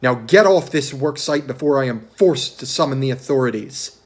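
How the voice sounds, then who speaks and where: An actor playing a stern, contemptuous building-site boss in a stage drama read, formal and scolding. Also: male voice, medium pitch, animated, normal speed, close to an everyday voice. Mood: scornful. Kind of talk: musical table read, dramatic stage acting.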